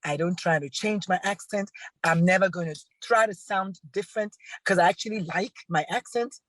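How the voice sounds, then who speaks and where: A woman's voice: mid-range voice, moderate pace, dry recording. A middle-aged woman with a Nigerian accent, talking confidently and emphatically as a podcast guest. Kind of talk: casual conversation.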